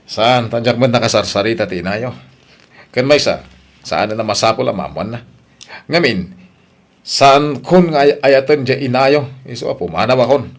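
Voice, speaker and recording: A man's voice: medium pitch, animated, moderate pace, lightly acted. A man acting a brusque, defiant father in an Ilocano audio drama, with an Ilocano accent. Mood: defiant, angry. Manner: brusque, blustering. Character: brusque, defiant philandering father leaving his family.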